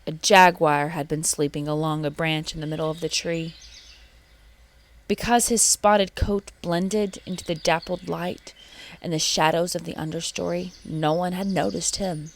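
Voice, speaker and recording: A woman reading a children's storybook aloud in an expressive narrating style. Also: lowish voice, moderate pace. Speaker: storybook reader.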